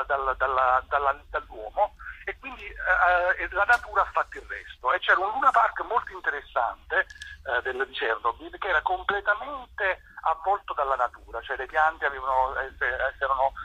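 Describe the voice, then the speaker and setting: A man answering as a theatre director in an informal radio interview, haltingly, often repeating words as he searches for the next one. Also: a little high, pushed and bright, breathy edge, dry recording. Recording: dull, band-limited radio or phone line.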